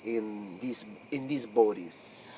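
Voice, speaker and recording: Man acting as ancient noble vampire, solemn, slow and halting, broken English, spoof talk show, chirping insects behind. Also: fairly low pitch, a little mellow, slight background noise. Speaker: interview guest. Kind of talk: improvised spoof late-night interview role play.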